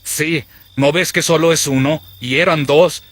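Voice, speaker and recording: A man's voice: on the high side, fairly even intonation, a little slow, a bit bright, voice pushed up. A man voicing a knowing, grim colonial townsman who gossips conspiratorially in a horror radio drama.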